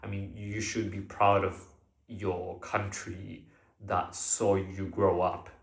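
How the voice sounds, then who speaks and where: Slow, hesitant man in an echoey room. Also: deep voice, flat delivery, vocal fry. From Argentina. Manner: hesitant, many fillers and restarts, unscripted. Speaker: vlogger. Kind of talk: casual monologue to camera.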